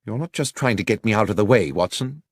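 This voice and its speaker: A man voicing an affable, slightly wounded gentleman in an audiobook, with a chatty, animated, lilting manner. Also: lowish voice, quick pace, dry recording, clean studio sound. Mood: puzzled, resigned.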